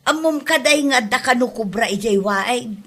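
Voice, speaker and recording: A woman's voice: fairly high pitch, lively intonation, moderate pace, a bit bright. A woman playing a gossipy village friend with an Ilocano Filipino accent in a comedy audio drama. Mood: gossiping.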